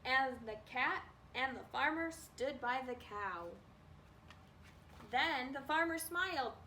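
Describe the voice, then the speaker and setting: A woman reads a children's story aloud in a high, very bright voice. She takes her time and is expressive with the text. She sounds a little way from the microphone, with faint noise behind her. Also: takes it slowly. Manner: expressive, acts out animal noises. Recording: distant mic with faint background noise.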